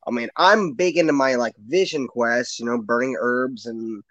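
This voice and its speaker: A man's voice: medium pitch, moderate pace, fairly bright tone, dry recording, dull audio. A man hosting a podcast, asking questions in a curious, hesitant way with lots of fillers. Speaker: podcast host. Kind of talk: casual interview chat.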